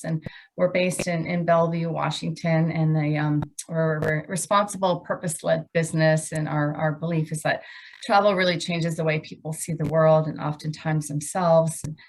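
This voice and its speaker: A woman, founder of a travel company, hosting a webinar presentation, speaking hesitantly with frequent pauses and restarts. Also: sits low, rough recording. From United States. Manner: hesitant, many fillers and restarts.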